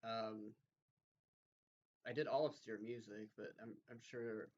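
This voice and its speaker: A man's voice: fairly low pitch, steady tone, takes it slowly, highs cut off. A man streaming, talking casually as if to a chat audience.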